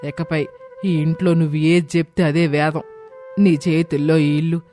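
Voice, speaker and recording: A man's voice: fairly high pitch, level tone, normal speed, mellow, faint music behind, lightly acted. A man voicing an affectionate, humbled older mother-in-law, speaking with heartfelt emotion in a moral story. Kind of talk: dramatised Telugu moral story.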